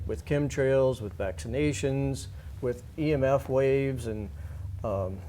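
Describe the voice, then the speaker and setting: A man with a soft, mellow tone and a mid-range pitch talks in an unscripted radio interview. He sounds earnest about what he says and leans on key points, repeating them for emphasis. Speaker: alternative-media guest and writer.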